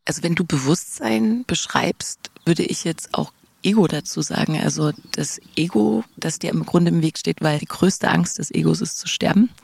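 A woman's voice: deep voice, normal speed, gravelly, darkish tone, slightly rough, no room sound. A woman interviewing in a reflective, conversational way, thinking along and rephrasing what she hears.

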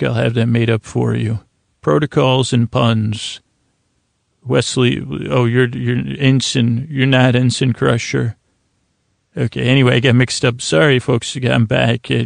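A man with a gravelly, creaky voice in a close, dry recording delivers a soothing bedtime monologue. He rambles gently, stammering and trailing off into half-finished thoughts, at a middling pitch. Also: no echo. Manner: meandering and halting, stammers and breaks off mid-thought; lulling, mild-mannered. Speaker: host of a bedtime story sleep podcast.

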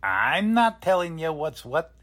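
A man's voice: fairly high pitch, sing-song, unhurried, fairly bright tone. A man playing a cynical, streetwise newspaper photographer with slangy teasing talk in a 1940s-style radio drama. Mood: cynical, insistent.

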